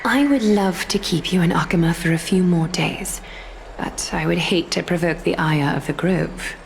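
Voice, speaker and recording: An actress voicing a composed, courteous noble lady ruler in a video game, speaking formally and with measured poise. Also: on the low side, gravelly, a little hiss or hum. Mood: composed, reassuring. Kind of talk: scripted video game dialogue. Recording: music playing behind.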